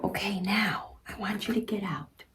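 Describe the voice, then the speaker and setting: A woman's voice: mid-range voice, steady tone, moderate pace, fairly bright tone, a little hiss or hum. A woman in her thirties reading a picture book aloud to young children, speaking expressively in simple teacherly phrases and addressing the child directly.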